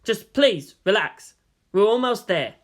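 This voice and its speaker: Storybook role: tired but patient boy on a journey, dry and reassuring, lightly high , read by a man. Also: expressive, a little slow. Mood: patient, weary.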